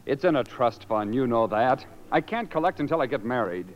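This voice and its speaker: A man's voice: mid-range voice, lively intonation, a little fast, natural voice. An American man playing an anxious, pleading, spoiled young boss's son in a 1950s radio drama, nervous and stammering. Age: young adult. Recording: muffled, noisy old radio recording.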